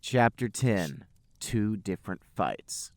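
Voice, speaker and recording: A man co-hosting a podcast, deadpan as he banters and reads aloud for comedy. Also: fairly low pitch, slow. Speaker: podcast co-host and reader. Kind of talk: comic read-aloud and casual banter.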